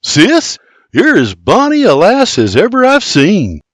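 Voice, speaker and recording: A man's voice: sits high, wildly swooping pitch, unhurried, dry recording, studio quality, pitched above the performer's own voice, heavily acted. A man doing a shy young Irish farmhand. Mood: earnest. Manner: shy, stammering, earnest.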